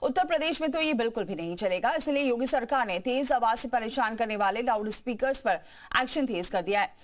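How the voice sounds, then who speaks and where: A woman's voice: fairly high pitch, lively intonation, fairly quick. A woman presents the news as an anchor, in emphatic, broken-up phrases.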